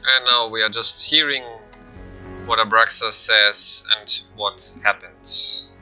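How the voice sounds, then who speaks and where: A man giving casual, unscripted commentary for a game showcase, explaining loosely in halting phrases. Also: on the low side, steady tone, bright, forceful tone, soft background music, rough recording. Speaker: gaming channel narrator.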